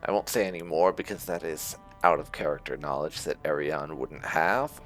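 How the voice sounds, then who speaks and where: A man's voice: extremely low pitch, moderate pace, fairly bright tone. A man hosting a casual roleplay playthrough of a game, musing and wry as he comments. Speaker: gaming video host. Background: game music.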